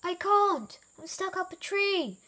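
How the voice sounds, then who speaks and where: A woman voicing a trickster storybook elephant who calls out in loud, drawn-out cries. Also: very high-pitched, expressive, voice pushed up, exaggerated voice. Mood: pleading. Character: hidden trickster elephant, teasing, calling out, pleading.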